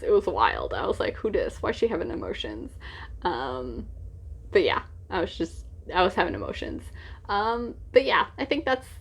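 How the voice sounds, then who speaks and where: A young woman chatting casually in a book vlog, with a gushing, giggly, self-deprecating manner. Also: mid-range voice. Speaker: book reviewer vlogger.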